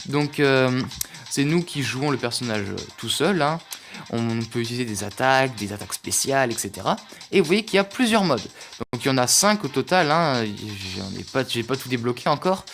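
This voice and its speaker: A man's voice: mid-range voice, fairly bright tone. A man casually commenting on a mobile game as he plays, hesitant, with lots of fillers and restarts. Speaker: gaming youtuber. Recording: music playing behind. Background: game music.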